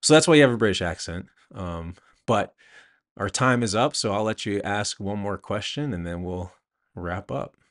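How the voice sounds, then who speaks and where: An American man hosting a podcast in casual, unscripted talk, with halting, hesitant phrasing. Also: on the low side, lively intonation. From United States. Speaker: podcast host.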